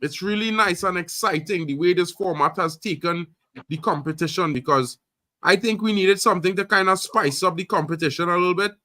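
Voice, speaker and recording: A man from Trinidad hosts a casual live football stream, talking loudly and excitedly with repeated catchphrases. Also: high-pitched, fairly quick, no echo. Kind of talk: casual live sports chat.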